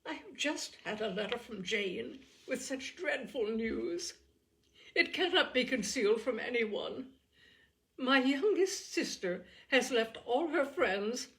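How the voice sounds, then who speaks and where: A woman voicing an agitated young gentlewoman from a classic novel, breathless and near tears, with anguished emphasis in an audiobook reading. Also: sits high, voice pushed up, heavily acted. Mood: distressed, tearful. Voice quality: breathless, breaking voice.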